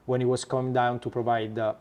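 A man with an Italian accent, a startup founder being interviewed, who talks haltingly with many fillers and restarts. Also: medium pitch, level tone, darkish tone. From Italy. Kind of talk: podcast interview.

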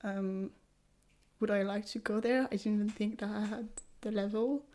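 A woman's voice: mid-range voice, fairly even intonation, normal speed, dark tone. Hesitant young French woman. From France. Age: 20s. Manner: hesitant, many fillers and restarts. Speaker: guest, English language student. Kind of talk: casual podcast conversation.

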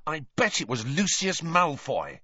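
A man's voice: on the high side, huge pitch swings, unhurried, sharp tone, breathy edge, voice pushed up, exaggerated voice. A man voicing a blunt, exasperated teenage boy in an audiobook story. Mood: excited. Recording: dull lo-fi audio, roomy echo.

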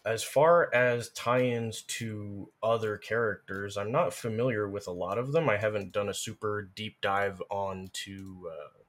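Thoughtful man with a lowish voice. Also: a little slow, fairly bright tone. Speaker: guest. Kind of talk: casual discussion.

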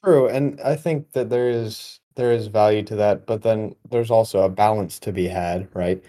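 Mellow male voice, age twenty, podcast host, casual conversation, rambling and wry. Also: lowish voice, steady tone, normal speed. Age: 20, young adult.

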